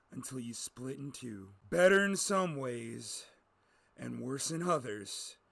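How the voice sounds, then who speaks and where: A man voicing a weary, heartbroken old male hermit who talks slowly and mournfully. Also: mid-range voice, vocal fry, fairly bright tone, no room sound, voice pushed down, exaggerated voice.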